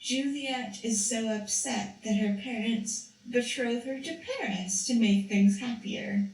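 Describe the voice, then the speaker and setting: A woman's voice: a little high, unhurried, a little mellow, recorded from a distance. A woman narrating a story for a school puppet show, reading carefully with a few restarts. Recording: echoey room, voice from off-mic.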